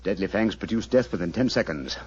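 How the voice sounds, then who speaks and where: An actor playing a commanding, razor-sharp detective with a British accent and precise theatrical diction, in a 1940s radio drama. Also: male voice, low-pitched, animated, a little fast, slightly rough. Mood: grave, declamatory. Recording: dull, noisy 1940s radio recording.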